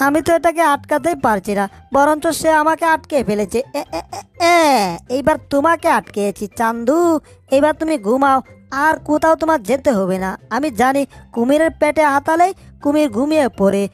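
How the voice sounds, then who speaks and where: A woman's voice: high-pitched, lively intonation, moderate pace, soft background music. A woman voicing a small, clever, anxious male mouse in a cartoon dub, fretting and exclaiming to himself. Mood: fussy, coaxing. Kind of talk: Bengali cartoon dub acting.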